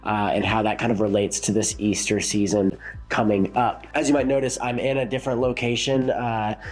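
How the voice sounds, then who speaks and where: A man's voice: on the low side, level tone, moderate pace, soft background music, poor audio. A congested, sick man talks through a casual reflective vlog as a worship leader, rambling with many fillers and restarts.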